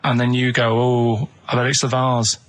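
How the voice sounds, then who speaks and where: A man in casual comic chat, explaining things earnestly in a halting, muddled way. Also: medium pitch, level tone. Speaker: radio show regular pitching ideas.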